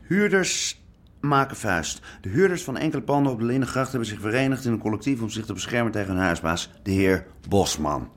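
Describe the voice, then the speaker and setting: An actor playing an idealistic, eager activist who speaks insistently in a radio drama. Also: male voice, fairly low pitch, expressive, brisk. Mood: reading eagerly. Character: fervent, idealistic male tenants' activist.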